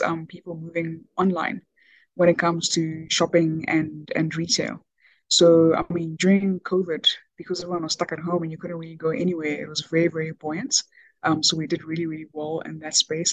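Ghana-born lady guest, pretty flat delivery, lots of ums, dry close sound. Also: on the low side, dry recording, poor audio. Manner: hesitant, many ums and restarts. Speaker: podcast guest, business executive. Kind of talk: conversational interview.

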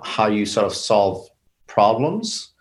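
A man's voice: fairly low pitch, big pitch swings, slow. A man from Bangladesh, a company founder, answering interview questions in a reflective way and explaining his ideas step by step. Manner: reflective, explains in steps, repeats words. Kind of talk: interview conversation.

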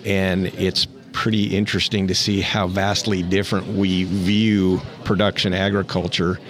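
A man's voice: deep voice, little pitch movement, unhurried, fairly bright tone, faint music behind. A man being interviewed on a podcast, talking in a rambling, thoughtful way with frequent restarts. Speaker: interview guest, rancher. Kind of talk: casual interview.

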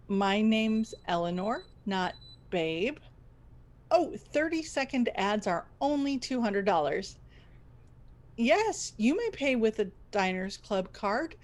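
A woman playing a proud, stubborn boss of a failing radio station in a scripted comedy, with brisk, dryly theatrical delivery. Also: medium pitch, close to an everyday voice. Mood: insistent politeness.

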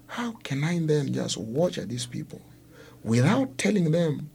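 A man from the Democratic Republic of Congo with a Congolese accent and Luo as his first language, giving a personal account as an interview guest in halting, deliberate phrases. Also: on the high side, very animated, gravelly, darkish tone, slight background noise.

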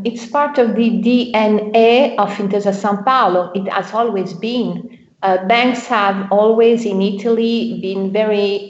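A woman working as a bank's culture director answers interview questions hesitantly, often pausing to find her words. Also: mid-range voice, a little mellow. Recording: roomy, dull lo-fi sound.

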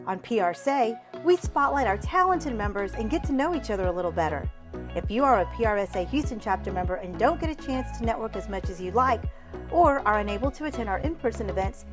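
A woman hosting a professional association podcast, upbeat and effusive, warmly affirming her guest. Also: a little high. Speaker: podcast host. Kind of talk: enthusiastic podcast interview.

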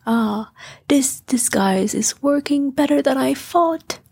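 Woman voicing gentle, high-pitched storybook honey bear. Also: expressive, slow pace, creaky, slightly rough, dry recording, clean studio sound, heavily acted. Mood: quietly pleased. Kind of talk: children's bedtime story character voice.

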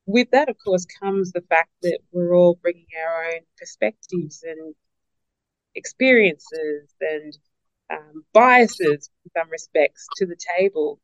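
A woman's voice: fairly low pitch, takes it slowly, no echo, lo-fi sound. A woman answering as a guest on a podcast, reflective, often repeating words as she starts a point and asking herself questions. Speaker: business partner and podcast guest. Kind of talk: interview answers.

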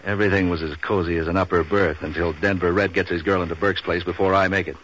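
An actor playing a cynical, wisecracking man for hire in a deadpan, slangy 1940s radio noir style. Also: sits low, fast talker. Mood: cool, needling. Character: wisecracking, hard-boiled male man for hire. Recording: dull, slightly noisy old radio recording.

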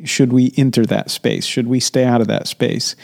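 A man hosting an interview, speaking in a thoughtful, measured way and often pausing to search for words. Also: medium pitch, fairly even intonation, vocal fry, a little mellow. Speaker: interview host. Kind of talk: casual interview.

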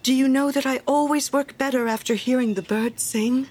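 A meek little storybook fellow played by a woman reader, with a raised pitch. He speaks shyly and humbly, always deferential and eager to please. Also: fairly even intonation, moderate pace, darkish tone. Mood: apologetic. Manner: shy, timid, deferential. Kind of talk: storybook character reading.

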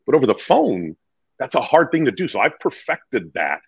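Male interview guest: deep, earnest, emphatic storyteller with wide swings in pitch, recorded in a dull, lo-fi sound. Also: very animated, moderate pace, husky, dry recording. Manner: earnest, emphatic, acts out quoted dialogue. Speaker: real estate agent being interviewed as a guest.

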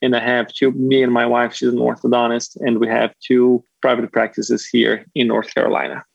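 A man in his thirties from Brazil, speaking English with a Brazilian accent as a podcast guest, relaxed and modest. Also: medium pitch, moderate pace, vocal fry, no echo, dull audio. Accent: Brazilian Portuguese. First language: Portuguese. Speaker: guest, orthodontist. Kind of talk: casual interview talk.

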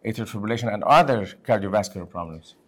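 A man from Lebanon hosting a conversational medical podcast as a physician, speaking in halting, emphatic bursts. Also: on the low side, animated, a bit bright. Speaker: podcast host, physician and section head.

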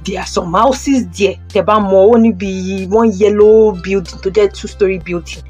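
A woman with a Nigerian accent playing a brisk, pushy male housing agent in an audio drama. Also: mid-range voice, steady tone, a little mellow, slightly rough, soft background music, natural voice. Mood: businesslike. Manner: hesitant starts, persuasive, businesslike. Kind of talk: audio drama acting, Yoruba-English phone conversation.

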